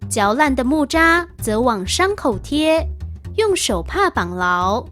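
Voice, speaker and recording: A woman with a high, very melodic voice reads literary prose. Her delivery is very slow, with guitar music clearly behind her. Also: big pitch swings, fairly bright tone. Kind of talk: slow scripted reading of literary Mandarin passages.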